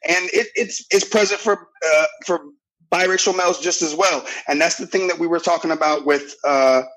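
A man with a loud, deep voice talking earnestly in a casual podcast discussion, often restarting his phrases. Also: moderate pace, low-quality recording. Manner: earnest, restarts phrases, keeps asking "you know what I'm saying". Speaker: podcast guest.

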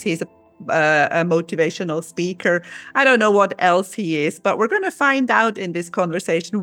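A woman's voice: lowish voice, fairly bright tone, dry recording. A woman from Switzerland hosting an interview show, chatty and enthusiastic as she asks her guest questions. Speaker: podcast host.

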